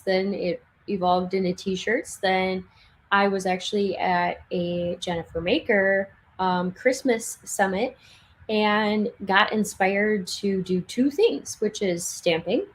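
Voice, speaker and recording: A young woman chatting casually on a livestream from her home craft room, laughing often and restarting sentences, her voice getting hoarse. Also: mid-range voice, takes it slowly, poor audio. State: losing her voice. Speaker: livestream speaker.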